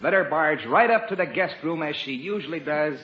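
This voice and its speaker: An actor playing a mischievous, jocular husband in a 1950s radio comedy. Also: male voice, a little high, expressive, a little fast, close to an everyday voice. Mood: amused, scheming. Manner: jocular, placating. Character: teasing, mischievous American husband. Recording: muffled, echoey old radio, faint music, audience.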